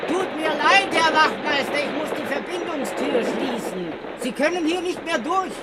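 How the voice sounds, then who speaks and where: An actor playing an obstinate, officious railway man in a comic radio drama, slow and unbending. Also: high voice, animated, a loud crowd. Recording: loud noisy train, voice raised over it.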